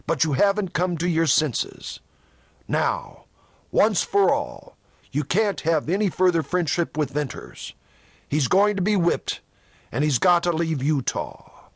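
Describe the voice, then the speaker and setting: A man's voice: a little high, wildly swooping pitch, slow, breathy edge, dry recording, exaggerated voice. A man voicing a grim, menacing religious elder. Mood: commanding, righteous. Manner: tense, harsh, commanding. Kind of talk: audiobook character dialogue in a western.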